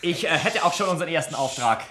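An actor playing a very loud, overeager and dutiful police sergeant in a satirical radio comedy. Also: male voice, fairly high pitch, lively intonation, quick pace, reverberant. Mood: eager, zealous. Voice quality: loud, barking.

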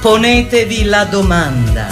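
An actress playing a solemn yet playful leader of a women's workshop in a radio play, talking slowly and suggestively to her group. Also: mid-range voice, very animated. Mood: solemn, guiding. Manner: slow, suggestive, addressing group and audience. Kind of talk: theatrical radio drama performance. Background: music.

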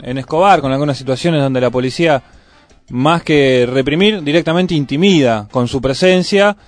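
A man's voice: mid-range voice, no room sound. A man with a Buenos Aires Argentine accent giving casual local news commentary as a radio show commentator. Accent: Buenos Aires Argentine Spanish. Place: radio studio. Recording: music playing behind the voice. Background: music.

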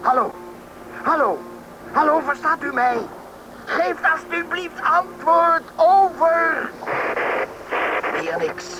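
A patient, kindly rescue radio operator in an old radio drama. His pitch is very high and his delivery emphatic, with clear noise behind him. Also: sing-song, takes it slowly, pauses often, exaggerated voice. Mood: tense, patient. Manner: emphatic, repeating calls, kindly. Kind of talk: radio play acting. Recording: noisy old radio play, vehicle rumble.

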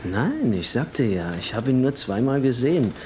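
A man's voice: medium pitch, very animated, normal speed, a little mellow, a little hiss or hum, natural voice. A man playing a casual, bigoted auto painter in a radio crime drama, offhand and slightly defensive.

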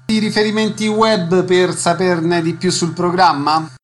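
A man's voice: sits high, flat delivery, unhurried. A man presenting and asking questions as a radio interviewer, in a scripted announcing style. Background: music.

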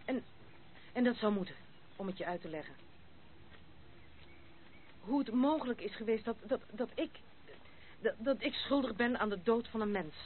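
An actress playing a proud, troubled young noblewoman in a Dutch radio play, guarded and defiant, with halting speech. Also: fairly high pitch, lively intonation, lightly acted. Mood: anguished. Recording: noisy, thin phone-like old radio recording.

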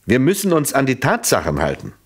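An actor playing a shrewd police inspector in a German radio play, probing with polite, dry irony and skepticism. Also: male voice, mid-range voice, wildly swooping pitch, breathy edge. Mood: skeptical probing. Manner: ironic, polite, measured, probing.

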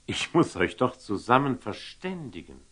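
An actor playing a shrewd, indulgent older sultan in a radio play, speaking classical verse with measured authority. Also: male voice, medium pitch, very animated, slightly rough. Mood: calming, commanding.

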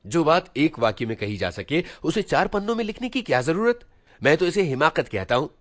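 Man performing a self-important schoolboy elder brother, preachy, scolding, rhetorical questions, very lively intonation, storybook monologue, dry recording. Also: fairly high pitch, fairly quick, no echo, voice pushed up. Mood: exasperated. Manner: pompous lecturing with rhetorical questions.

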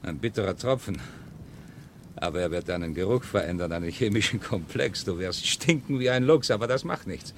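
A male actor playing a calm, wry, hospitable outcast leader in a radio play, talking in an unhurried, conversational way. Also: low-pitched, a little hiss or hum. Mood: matter-of-fact. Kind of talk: conversational radio drama acting.

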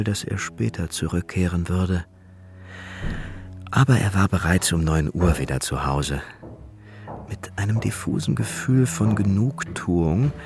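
A man narrating a radio drama in a measured, suspenseful storytelling manner. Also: low-pitched, darkish tone.

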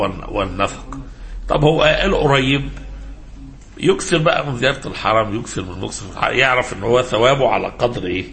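A man with an Egyptian accent teaches religion in an informal lecture style. His medium-pitched voice has a creaky, gravelly grain, and he moves haltingly, prompting listeners with questions as he explains. A faint noise sits behind the recording. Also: fairly bright tone. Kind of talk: informal religious lecture mixing classical and colloquial speech.

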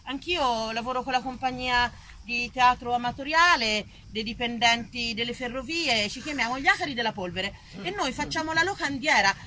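A man's voice: extremely high pitch, normal speed, few pauses, sharp tone, a little hiss or hum, exaggerated voice. A woman playing a chatty, literal-minded small-town registry clerk, a man who rambles on. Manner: chatty, rambling, matter-of-fact.

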